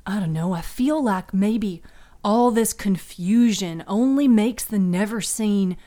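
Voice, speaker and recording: A woman's voice: medium pitch, slow pace, mellow, close to an everyday voice. A woman playing an earnest, impatient young man who presses bluntly with questions, in a fiction podcast. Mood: frustrated. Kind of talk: fiction podcast character dialogue.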